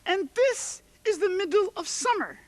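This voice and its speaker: A man's voice: very high-pitched, animated, normal speed, dry recording. A man voicing a vain, sassy female pig in a puppet comedy, with a haughty, coy and bossy diva delivery. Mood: indignant, bossy. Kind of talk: puppet comedy fairy-tale acting.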